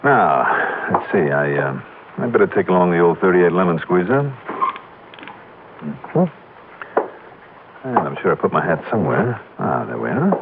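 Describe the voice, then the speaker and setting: An actor playing a wry, tough American insurance investigator, clipped and hard-boiled, in old-time radio detective drama. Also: male voice, low-pitched, very animated, normal speed, gravelly, long pauses, very noisy, exaggerated voice. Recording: muffled vintage radio recording, music behind.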